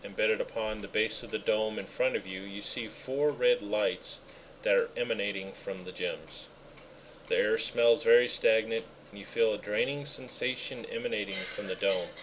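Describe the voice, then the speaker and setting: A man running a tabletop game, reading out room descriptions and answering players patiently. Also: fairly low pitch. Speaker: game master. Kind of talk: narrating a tabletop game session. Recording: muffled voice call with background noise.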